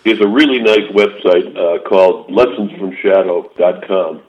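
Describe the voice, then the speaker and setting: An older man calls in on a muffled, lo-fi phone line and tells rambling stories. He keeps restarting his sentences, and his voice sits a little low. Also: normal speed. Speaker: author guest. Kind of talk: casual storytelling interview. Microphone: phone call.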